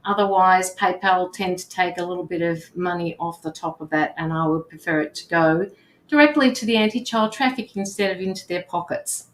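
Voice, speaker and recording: A woman's voice: on the low side, brisk, reverberant, poor audio. A woman hosting a livestream, chatting informally with viewers and her guest. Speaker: livestream host. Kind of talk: casual livestream chat.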